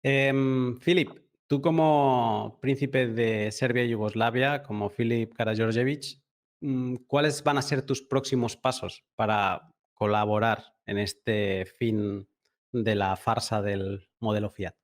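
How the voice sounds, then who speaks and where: A man's voice: mid-range voice, steady tone, slow pace. A man with a mid-range voice hosts a podcast interview. He talks conversationally and reflectively, as if thinking aloud.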